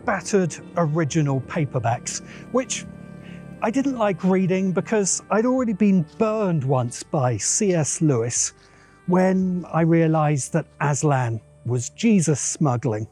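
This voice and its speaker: A man's voice: on the high side, darkish tone. A man hosting a science fiction channel, giving a scripted video essay narration in a dramatic, musing way with dry asides.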